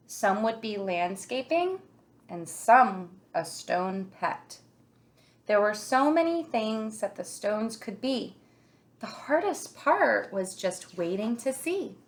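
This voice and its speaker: A woman reading a children's picture book aloud in an expressive, deliberate storytelling way, like a kindergarten teacher. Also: on the high side.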